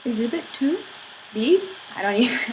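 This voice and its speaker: A young woman rambling in a casual vlog, filling every pause with "like". She is sick, and her voice sounds a little husky. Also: a little high, expressive, darkish tone, slight background noise, rough recording. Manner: chatty, filler-heavy, giggly, says "like" constantly. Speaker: vlogger.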